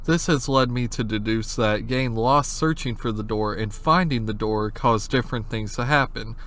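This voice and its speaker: A man with a medium-pitched voice narrates horror commentary. He explains things step by step in informal wording. Casual asides break up the narration. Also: moderate pace, fairly bright tone. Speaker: video essayist, horror series analyst.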